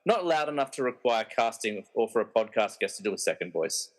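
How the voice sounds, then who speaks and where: A man's voice: on the low side, big pitch swings, fairly quick. Tongue-in-cheek male narrator. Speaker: narrator and quiz master of a podcast radio play. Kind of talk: scripted comic narration with casual quiz banter.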